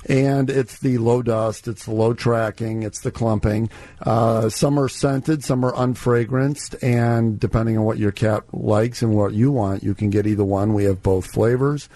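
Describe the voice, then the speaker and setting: A man's voice: on the low side, fairly even intonation, unhurried, warm tone, no room sound. A man speaking as an executive guest on radio, promoting a product in a conversational, explanatory way. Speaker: company CEO, guest on radio show.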